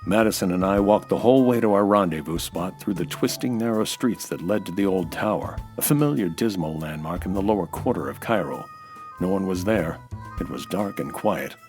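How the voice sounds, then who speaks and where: Man playing a low-voiced hard-boiled American noir sleuth. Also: expressive, brisk, a little mellow, soft background music. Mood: grim narration. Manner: terse, noir-style storytelling. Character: hard-boiled American nightclub owner, weary, wry sleuth. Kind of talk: 1940s radio detective drama narration and dialogue.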